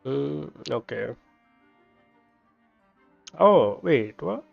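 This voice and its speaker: Male streamer, gravelly and musing, casual play-by-play, slightly muffled recording. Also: mid-range voice, very animated, very slow. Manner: musing, thinking aloud while playing. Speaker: game streamer. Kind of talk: casual gameplay commentary. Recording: dull lo-fi microphone sound. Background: game music.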